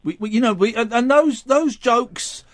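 A man's voice: very high-pitched, moderate pace, dry recording. A male radio host in casual studio chat, rambling with stammered restarts and musing questions.

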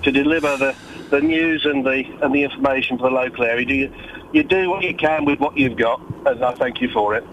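A man's voice: mid-range voice, a little fast, a bit bright, slight background noise. A man calling into a radio show, nasal from a cold, sheepish and apologetic. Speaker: caller. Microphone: phone line. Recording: dull phone-in line.

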